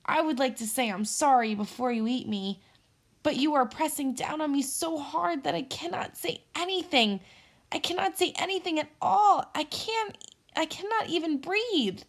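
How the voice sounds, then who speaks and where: Lady doing a chirpy little storybook squirrel, sing-song, high and cheeky. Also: big pitch swings, slow, full character voice. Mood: pleading.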